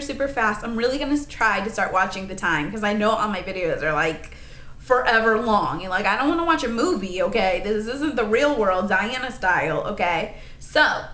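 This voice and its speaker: Bright-voiced chatty woman in her twenties. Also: on the high side, reverberant. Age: 20s. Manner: rambling, animated, lots of "like" and "honey". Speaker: vlogger. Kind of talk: casual chatty vlog.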